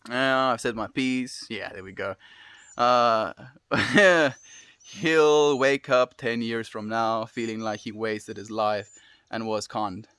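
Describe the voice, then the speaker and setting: Relaxed South African man musing on stream. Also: mid-range voice, slow, clean tone, dry recording. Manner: relaxed, musing, frequent soft laughs. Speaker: livestream host. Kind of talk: casual live-stream chat reading viewer comments.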